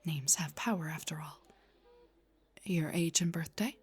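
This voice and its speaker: A woman playing a gentle, refined vampire doctor in a soft-spoken roleplay, breathy and whispery, with a polite, slightly hesitant manner. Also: deep voice, a little slow, full character voice.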